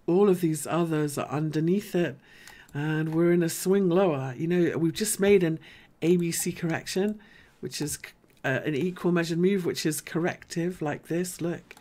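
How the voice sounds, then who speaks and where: A woman with a deep, low voice hosts a live market analysis stream. She is chatty and informal and thinks aloud as she works through each point. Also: normal speed, hardly any pauses, dark tone. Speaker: host.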